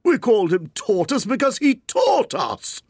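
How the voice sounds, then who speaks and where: A man voicing a sorrowful, sobbing male Mock Turtle who speaks slowly and mournfully in a hollow tone. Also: very animated, no echo, clean studio sound. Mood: indignant. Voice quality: deep hollow tone. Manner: slow, mournful, sighing.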